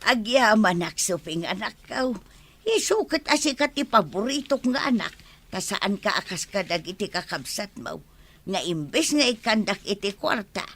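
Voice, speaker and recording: A woman's voice: mid-range voice, very animated, moderate pace, fairly bright tone. A woman acting an exasperated village woman in an Ilocano radio family comedy, with an Ilocano accent. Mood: plaintive.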